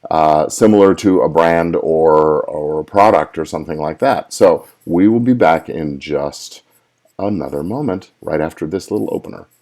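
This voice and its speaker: A man's voice: deep voice, a little mellow. A middle-aged man hosting his own live podcast, chatty and casual, with many fillers and restarts. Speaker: podcast host, lawyer. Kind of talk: solo live podcast talk. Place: hotel at a conference.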